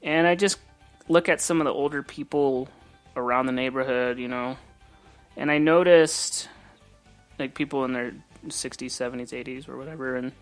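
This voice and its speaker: A man in his late twenties muses aloud about growth and belief, wandering from thought to thought in an easy, reflective way. His voice sits at an ordinary middle pitch, and soft music plays faintly behind him. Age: 20s. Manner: reflective, rambling, self-talking. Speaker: vlogger. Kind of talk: casual motivational monologue.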